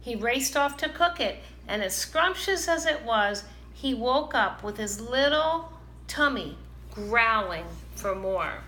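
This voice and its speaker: A woman reading a children's picture book aloud in an animated, expressive storytelling manner. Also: a little high, slow pace. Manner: animated, dramatizes characters' lines. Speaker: storybook reader. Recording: roomy, echoing, some background noise.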